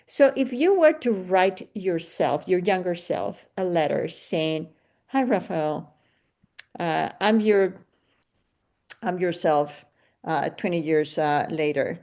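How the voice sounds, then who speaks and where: Low-pitched older woman podcast host. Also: slow pace, a little mellow. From Venezuela. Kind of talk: casual interview chat.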